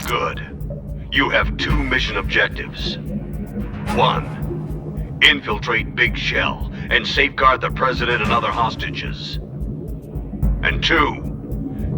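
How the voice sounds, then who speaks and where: An actor playing an older military colonel who gives covert mission orders in a measured, commanding way for a video game. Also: male voice, a bass voice, very animated, gravelly, music in the background, full character voice. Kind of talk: video game radio briefing acting.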